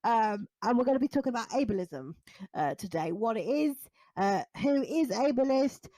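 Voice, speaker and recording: Animated high-voiced woman podcast host. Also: normal speed, no room sound, low-quality recording. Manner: self-deprecating, jokey. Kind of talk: casual chatty banter.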